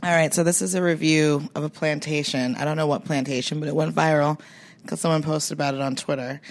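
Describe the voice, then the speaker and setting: A woman's voice: low-pitched, fairly even intonation, a little fast. A woman in casual podcast conversation as a co-host. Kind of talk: casual chat.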